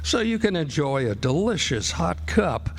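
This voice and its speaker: A male announcer presents in the formal style of old-time radio theater. His voice sits a little high for a man, with clear music behind it. The delivery is scripted and presentational. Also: sing-song, measured pace.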